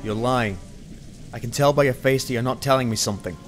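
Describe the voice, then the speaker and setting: A man's voice: medium pitch, a little fast, close to an everyday voice. Man acting a brusque young police detective over music. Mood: forceful, urgent. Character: young, hard-nosed male police detective, brusque and suspicious. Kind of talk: amateur radio play with inner monologue. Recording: music and rain under the voice.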